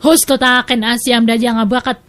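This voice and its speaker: Woman acting a high-voiced, chatty village neighbor. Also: little pitch movement, fairly quick, fairly bright tone, slight background noise, natural voice. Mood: sympathetic, gossipy. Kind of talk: audio drama acting.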